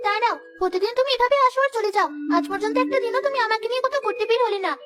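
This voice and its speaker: Little cartoon village girl: piping high, eager, pleading, music behind. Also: rapid-fire. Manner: eager, insistent. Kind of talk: cartoon character acting.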